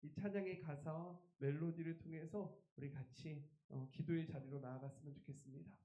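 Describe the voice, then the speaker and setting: A man's voice: on the high side, fairly even intonation, fairly quick, mellow, reverberant, dull audio. A man preaching a revival sermon as a pastor, emphatic and putting rhetorical questions to his congregation. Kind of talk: Korean church revival sermon.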